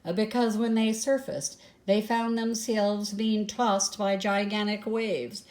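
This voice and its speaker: Older woman reading a storybook, slow and mellow. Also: medium pitch, steady tone. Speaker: storybook reader. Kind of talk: read-aloud children's story with asides.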